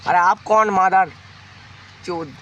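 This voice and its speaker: A man's voice: high-pitched, steady tone, measured pace, lo-fi sound, background noise. A young man talking brashly and casually as a prank video presenter and caller. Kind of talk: casual vlog chat and prank call. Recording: outdoor phone video with voices around.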